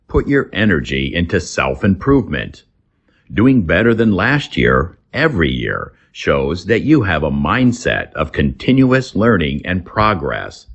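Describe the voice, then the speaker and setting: A man with a low, husky voice reads instructional material in short, deliberate phrases. His delivery is slow, with very lively, swooping intonation. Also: highs cut off. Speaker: reader and English teacher. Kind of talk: scripted instructional reading.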